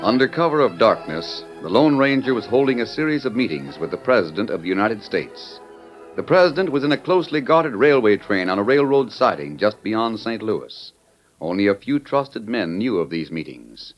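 The solemn narrator of an old radio western. His voice is on the low side, with formal, theatrical announcer phrasing. The recording is muffled, with music behind him. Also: big pitch swings, normal speed. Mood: dramatic, suspenseful. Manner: dramatic, formal announcer delivery. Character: solemn storyteller of a western adventure serial.

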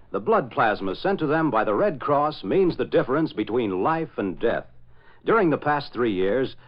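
A man with an American accent announcing in a scripted 1940s radio style. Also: mid-range voice. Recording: dull old 1940s radio recording.

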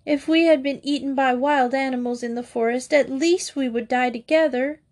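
A woman voicing a frightened little girl who pleads in a high, tearful way. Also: sing-song, moderate pace, a little mellow. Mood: pleading.

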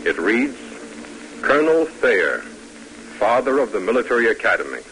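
A man's voice: mid-range voice, sing-song, normal speed, very noisy. A man announcing and narrating a 1950s radio drama in a formal, solemn, declamatory style. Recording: vintage radio broadcast with music. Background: music.

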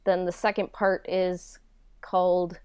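A woman's voice: on the low side, flat delivery, takes it slowly, darkish tone, clean tone, dry recording. A woman chatting casually as a podcast guest about her own work, candid and self-deprecating, on slightly dull audio. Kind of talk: casual chat.

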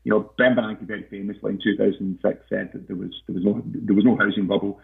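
A man as a podcast guest in casual interview talk, hesitant with many fillers and restarts, his voice croaky. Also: fairly low pitch, fairly even intonation, fast talker. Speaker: podcast guest, investment researcher. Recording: tinny phone-like line.

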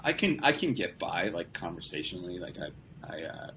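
A man's voice: low voice, sing-song, normal speed, bright, forceful tone, a little hiss or hum. A young man as a podcast guest, talking casually in rambling sentences with many restarts. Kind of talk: casual conversation.

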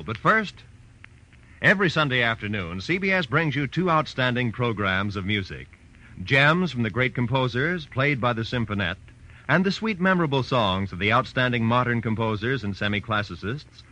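Vintage network announcer, male, American: very bright and forceful, lively pitch, formal polished emphasis. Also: normal speed, rough recording. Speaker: radio announcer. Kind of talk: 1940s network radio announcing.

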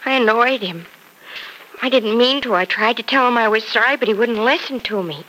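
A worried young wife in an old radio soap opera, pleading earnestly in a fairly high voice. She sounds close to tears as she frets over her husband. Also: brisk, sharp tone, low-quality recording. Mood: tearful. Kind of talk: 1950s radio soap acting.

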